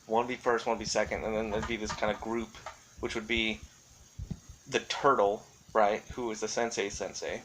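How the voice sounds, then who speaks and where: A man's voice: fairly low pitch, flat delivery, normal speed, a bit bright, rough recording. A man giving casual, rambling solo commentary as a vlogger, thinking aloud and often qualifying what he says in a tentative way. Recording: clearly audible background noise.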